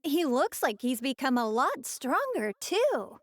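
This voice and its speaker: A sweet, upbeat young anime woman with a very high, bouncy voice. She talks in an easygoing, supportive, casual way, as in an English-dubbed video game. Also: big pitch swings, a little slow, dry recording, studio quality, heavily acted. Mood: musing.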